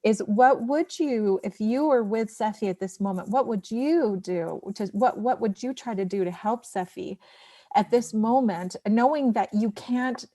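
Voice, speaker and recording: A woman's voice: fairly high pitch, a little slow, mellow, no room sound. A woman talking reflectively in a discussion, often restarting and repeating phrases as she works out her thoughts. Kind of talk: reflective discussion in a panel conversation.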